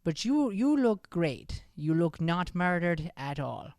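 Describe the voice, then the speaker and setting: A man's voice: fairly high pitch, big pitch swings, takes it slowly, a little mellow, dry recording, studio quality, exaggerated voice. A man playing a nervous, stammering Irish schoolboy who makes excuses, in an improvised radio comedy, with an Irish accent. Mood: nervous pleading. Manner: stammering, defensive. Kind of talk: improvised old-time radio comedy play.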